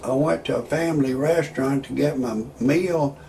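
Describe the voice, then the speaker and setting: A man in his sixties talking in a rambling monologue with stand-up style jokes, halting often on fillers and restarts. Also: medium pitch, gravelly, dark tone, slight background noise. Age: 60s. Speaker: amateur online show host.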